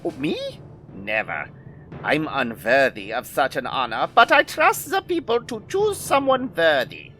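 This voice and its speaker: A woman's voice: low voice, huge pitch swings, measured pace, fairly bright tone, soft background music, full character voice. A woman acting a grandiose, theatrical villain with wounded pride in an audio drama, declaiming each speech. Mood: zealous.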